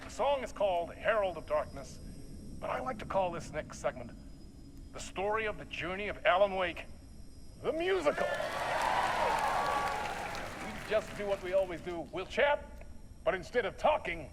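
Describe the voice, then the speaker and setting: High, swooping flamboyant talk show host. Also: male voice, big pitch swings, normal speed, pauses often. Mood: showy, playful. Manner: theatrical, dramatic. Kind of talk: showy talk show introduction. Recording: noisy studio with audience sound. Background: crowd noise.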